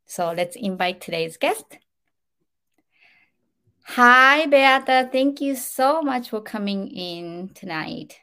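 A woman's voice: a little high, lively intonation, slow pace, pushed and bright, clean tone. A woman hosting a podcast interview, opening the show with formal, scripted introductions. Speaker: podcast host.

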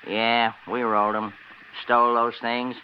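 A man's voice: mid-range voice, level tone, a little slow, slight background noise, natural voice. A man acting a folksy, aggrieved small-time crook in an old radio crime drama, speaking in clipped colloquial phrases. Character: shady, self-pitying male bathhouse thief, folksy and aggrieved. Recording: muffled old radio recording.

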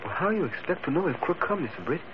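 Lively sidekick driver in broken English. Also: male voice, a little high, quick pace. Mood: alert, puzzled. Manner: clipped broken English with dropped words. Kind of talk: 1940s radio adventure acting. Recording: muffled, hissy old radio recording.

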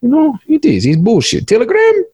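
A man acts out a droll, boastful old-hand cybercriminal for a podcast skit. The pitch swings widely as he stumbles through stilted, repetitive phrases, and the sound is dry and close. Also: huge pitch swings, mellow, slightly rough, dry recording, heavily acted. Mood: scornful, emphatic. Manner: halting, repetitive, stilted grammar with drawn-out hesitations. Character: smug, cynical veteran male cybercriminal, droll and boastful.

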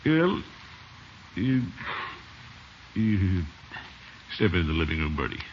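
An actor playing a pompous but soft-hearted middle-aged uncle in a 1950s radio comedy, blustering and hesitant by turns. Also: male voice, sits low, very animated, takes it slowly, heavily acted. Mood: hesitant, worried. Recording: noisy, muffled old radio recording.

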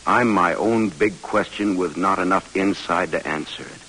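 An actor playing a brooding, affectionate American army major turned explorer in an old radio drama. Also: male voice, sits low, moderate pace, sharp tone, poor audio. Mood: earnest, brooding. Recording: hissy old radio recording.

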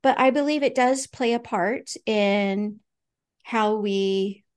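A woman's voice: mid-range voice, slow pace, smooth. A woman co-hosting a faith podcast in casual conversation, earnest and storytelling, with fillers and restarts. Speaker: podcast co-host.

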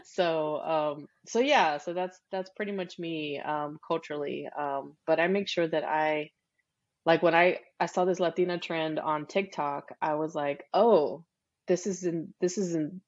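A woman's voice: low voice, measured pace, highs cut off. A woman in her forties from Texas, chatting casually as a podcast guest, talkative with frequent laughs and fillers. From Texas, USA. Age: 40s. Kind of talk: casual interview chat.

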